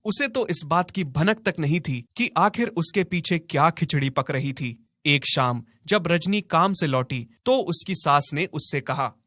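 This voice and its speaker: A man's voice: a little high, expressive, a little slow, few pauses, pushed and bright, dry recording, clean studio sound. A man narrating a scripted moral story as a storyteller.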